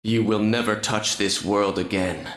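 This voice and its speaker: A man voicing a brooding, noble half-vampire prince in a video game, speaking in a grave, formal, measured way. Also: fairly low pitch, fairly even intonation, very noisy, close to an everyday voice. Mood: grim resolve. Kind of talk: dramatic video game voice acting. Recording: echoing game audio.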